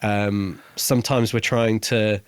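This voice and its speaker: Male co-host, lowish voice, very dry close sound, explanatory but informal book chat. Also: steady tone, slow, clear, resonant tone, dry recording. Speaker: podcast co-host reviewing books. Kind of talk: casual book discussion.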